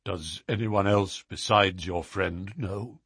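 A man's voice: low voice, takes it slowly, vocal fry, fairly bright tone, slightly rough, no room sound, studio quality, heavily acted. A man voicing a stern, haughty older nobleman in an audiobook, speaking in a stately, curt, grave way. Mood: shaken, grave. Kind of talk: audiobook character voice.

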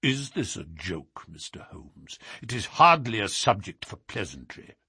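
Man playing a stern old duke, husky voice. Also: lowish voice, big pitch swings, a little slow, a bit bright, no room sound, studio quality, full character voice. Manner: stately, curt, grave. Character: stern, haughty older nobleman, a duke. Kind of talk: audiobook character voice.

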